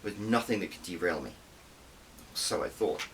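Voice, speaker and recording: A man narrates a first-person novel in a deep register. His delivery is scripted, dramatic and emphatic. The recording is slightly distant, with a light hiss underneath. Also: expressive, moderate pace, fairly bright tone. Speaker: author reading own audiobook.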